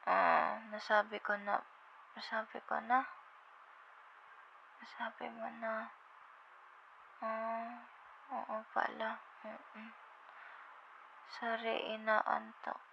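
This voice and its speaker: A woman playing a sleepy, affectionate girlfriend in an intimate ASMR roleplay, with a Filipino accent and a drowsy, halting, tender murmur. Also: a little high, little pitch movement, heavily acted. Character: sleepy, affectionate young girlfriend on a late-night call. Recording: muffled, with clear background noise.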